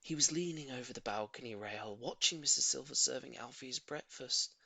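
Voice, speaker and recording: A man's voice: mid-range voice, brisk, creaky, sharp tone, dry recording. A man narrating a children's story expressively, with dramatic emphasis on key words. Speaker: audiobook reader.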